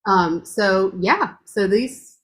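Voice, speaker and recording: A woman's voice: mid-range voice, animated, very slow. A woman talks in a casual, gushing testimonial. Her voice is strong. She laughs often and keeps the talk chatty. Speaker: guest giving a testimonial.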